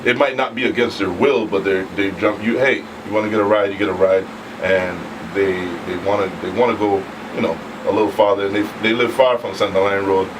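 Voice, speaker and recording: A man's voice: lowish voice, steady tone, fairly quick. A man co-hosting a talk show, asking questions casually. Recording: distant mic, noisy room air.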